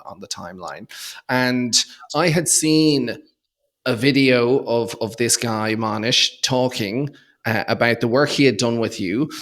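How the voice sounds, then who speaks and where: A man's voice: lowish voice, fairly bright tone. A man with an Irish accent speaking conversationally as an interview guest, in a hesitant way with repeated words. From Dublin, Ireland. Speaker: podcast guest, accountant and entrepreneur.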